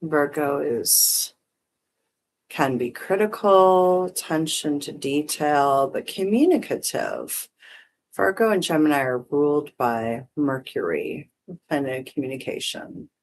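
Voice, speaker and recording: A meditation teacher guiding breath and visualization in short, gently permissive phrases with long pauses. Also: medium pitch, slight background noise. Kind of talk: guided meditation, unhurried instruction.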